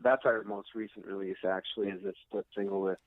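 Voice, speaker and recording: A man's voice: fairly low pitch, fairly even intonation, fairly quick, dry recording. A man from the United States, a musician, chatting casually in an interview in a hesitant, unassuming way. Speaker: rock guitarist being interviewed. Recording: tinny narrow phone-like line.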